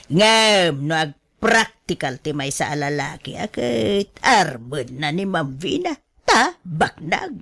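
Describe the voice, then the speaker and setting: Slow-talking scheming mother. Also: low voice, big pitch swings, a bit bright, heavily acted. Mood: scheming, amused. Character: scheming, money-minded, indulgent older mother. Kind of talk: Ilocano radio drama acting.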